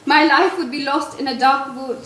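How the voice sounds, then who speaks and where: Actress playing a high-voiced devoted young fiancée, echoey stage. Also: female voice, fairly even intonation, moderate pace, fairly bright tone. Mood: playful, affectionate. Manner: tender, dramatic, playfully forthright. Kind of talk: theatrical stage-play dialogue. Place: stage, recorded with room echo. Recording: echoey stage with background noise and clicks.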